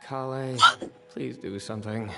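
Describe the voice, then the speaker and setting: A man voicing a stoic, serious young man in a video game, calm, terse and measured. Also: mid-range voice, creaky. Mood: deadpan. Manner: deadpan, measured.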